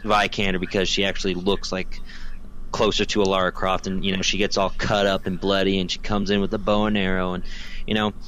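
A man's voice: sits low, flat delivery, a little fast, fairly bright tone, rough recording. A man chatting casually as a podcast guest, giving his opinions in a rambling way with emphatic repetition. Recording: clear background noise.

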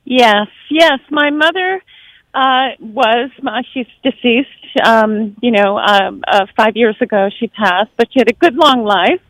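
A woman's voice: a little high, a little slow, a bit bright, dry recording, low-quality recording. American woman, reflective, with a dry sound. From born in Japan, grew up in the United States. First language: Japanese. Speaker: interview guest. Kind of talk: casual conversational interview.

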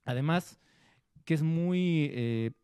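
A man's voice: a little high, slow, dark tone, clean tone, no echo. A man co-hosting a studio show, chatting about a film and acting out small quotes. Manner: conversational, acts out quotes. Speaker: co-host film critic. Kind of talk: casual film review chat.

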